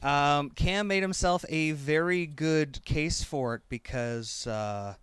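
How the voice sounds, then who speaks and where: A man's voice: a little high, slow pace, smooth, no echo. A man in his thirties hosting a casual review chat, upbeat and conversational. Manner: upbeat, energetic opener then conversational. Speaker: host.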